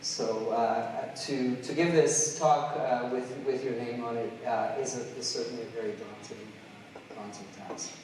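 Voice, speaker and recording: A middle-aged man from Trinidad and Tobago giving a conference keynote, halting often with fillers and restarts. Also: mid-range voice, fairly even intonation, background noise. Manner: hesitant, many fillers and restarts. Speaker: keynote speaker. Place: large lecture hall. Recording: distant mic in an echoey hall.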